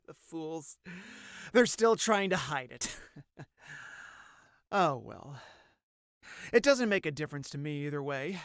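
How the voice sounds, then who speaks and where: A man's voice: on the high side, fairly bright tone, no room sound, studio quality, exaggerated voice. A man voicing a sadistic masked villain who speaks with mocking, mannered and formal menace. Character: sinister, gloating masked male villain, theatrical and sadistic.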